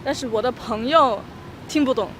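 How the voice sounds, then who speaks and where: A woman's voice: fairly high pitch, moderate pace. A young woman from Austria answering interview questions outdoors, hesitant and full of fillers, laughing easily. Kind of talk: casual street interview. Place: city street outdoors. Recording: loud street traffic noise. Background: traffic and passing vehicles.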